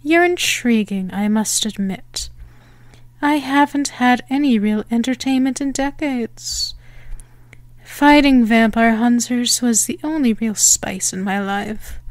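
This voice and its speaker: A woman voicing a possessive, menacing female vampire in an ASMR roleplay, teasing and theatrical in her deliberate phrasing. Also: a little high, no room sound. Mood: amused, condescending.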